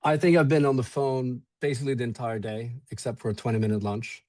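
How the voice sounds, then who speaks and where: Easygoing man, mellow dry voice. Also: mid-range voice, little pitch movement, fast, dry recording. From Sweden. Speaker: event organizer guest. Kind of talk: casual webinar chat.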